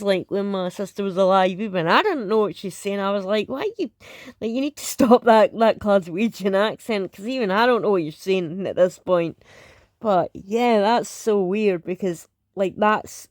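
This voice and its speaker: A woman's voice: medium pitch, normal speed, a little mellow, no echo, studio quality. A woman with an Edinburgh Scottish accent, chatting casually while reacting to a video, rambling with lots of fillers. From Scotland. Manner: rambling, many fillers and restarts.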